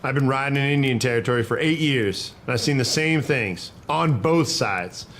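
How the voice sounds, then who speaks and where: A man's voice: mid-range voice, moderate pace, fairly bright tone, close to an everyday voice. A man acting as a stubborn, principled horse soldier in a western dramatization. His voice sits in the middle range and he argues in plain, folksy frontier speech. There is light ambient noise behind him. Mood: indignant. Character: principled, stubborn cavalry private defending the Nez Perce. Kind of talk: historical dramatization dialogue.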